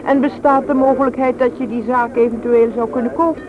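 Through heavy noise on an old radio drama recording comes a brisk, practical woman, acted by a woman. She is forward and bossy, questioning a suitor in a businesslike way. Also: high-pitched, fairly even intonation, phone-quality sound, natural voice. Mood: probing, bossy. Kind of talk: Dutch radio play acting.

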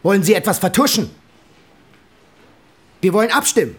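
An actor playing a stern, authoritarian party secretary who chairs a tribunal in a curt, formal way, in a radio drama. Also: male voice, high voice, big pitch swings, normal speed, breathy edge, full character voice. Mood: curt, reproving. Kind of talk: German radio drama acting.

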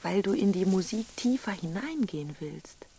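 A woman playing a gentle, thoughtful lover in a German radio drama, speaking intimately and reflectively. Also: mid-range voice, lively intonation, normal speed, slight background noise, natural voice. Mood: tender, reflective.

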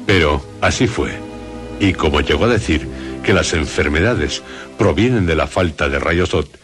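A middle-aged man with a Castilian Spanish accent, hosting a radio drama in a solemn, deliberate, phrase-by-phrase narration over music. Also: rumbling low, animated, fairly bright tone. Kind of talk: scripted introduction and narration.

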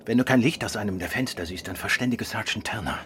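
An actor playing a suave, wry gentleman detective, courteous and in command, in a radio crime play. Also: lowish voice, quick pace, close to an everyday voice. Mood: calm, firm. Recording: clear vehicle noise behind.

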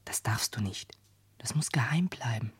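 Secretive questioner: very husky murmur, dry close sound, cautious, radio drama. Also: female voice, extremely low pitch, expressive, normal speed, no echo, full character voice. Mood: hushed, probing. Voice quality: breathy, hushed. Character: low-voiced, cautious, secretive confidante with probing questions. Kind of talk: German radio play acting.

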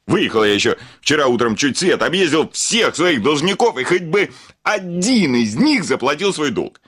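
An actor playing an irascible, blustering landowner in a classic comedy radio play, exclaiming and grumbling with theatrical exasperation. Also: male voice, a little high, very animated, moderate pace, a bit bright, slightly rough, exaggerated voice. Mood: agitated. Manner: theatrical grumbling, exclaiming, swinging from muttering to bellowing.

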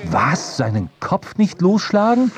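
An actor playing a wry, brooding sailor who recounts his voyage in measured, literary radio drama narration. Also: high-pitched, huge pitch swings, a crowd in the background, heavily acted. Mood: puzzled. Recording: music behind the voice.

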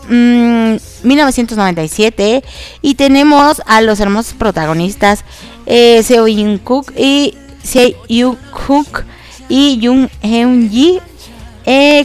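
A woman's voice: a little high, clean tone. A woman hosting an online radio show, nasal and congested from allergies, chatting casually with many fillers and giggles. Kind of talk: casual radio chat about K-dramas.

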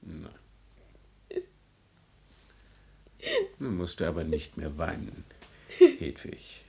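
A solemn, persuasive idealist in a vintage radio play. The voice is deep and gravelly, earnest and deliberate, with quiet insistence. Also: male voice, wildly swooping pitch, heavily acted. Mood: gently consoling. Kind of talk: 1950s German radio drama acting.

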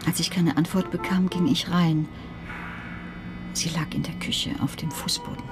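Female radio-drama role: low-pitched witness, played by an actress as sober and factual, music underneath. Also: steady tone, moderate pace, close to an everyday voice. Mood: subdued. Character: female neighbour, a sober witness reporting to police. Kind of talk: German radio play dialogue.

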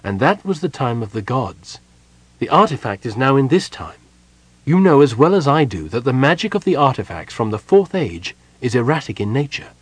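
A man reading an audiobook, voicing a stern, wary sorceress in a crisp, cool, measured way. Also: medium pitch, very animated, dry recording, pitched above the performer's own voice.

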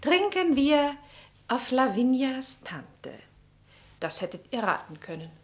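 A woman's voice: high voice, sing-song, takes it slowly, exaggerated voice. Bossy, theatrical older society lady. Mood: playful. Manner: theatrical, commanding. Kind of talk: German radio drama acting.

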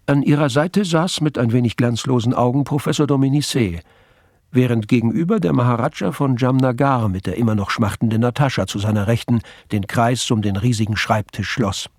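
An actor playing a measured, wry storyteller, narrating a radio play in literary German sentences. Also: male voice, mid-range voice, darkish tone, no echo, clean studio sound, close to an everyday voice.

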